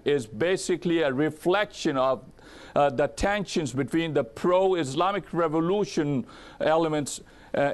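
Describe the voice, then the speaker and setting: A male political analyst with a slightly high-pitched voice offers commentary in an interview. He speaks deliberately and haltingly, choosing formal, academic wording as he builds his explanation. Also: clear, resonant tone.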